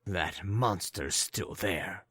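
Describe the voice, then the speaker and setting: A man voicing a tense young man who speaks in curt, hushed tones. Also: on the low side, huge pitch swings, measured pace, slightly rough, no echo, clean studio sound, exaggerated voice.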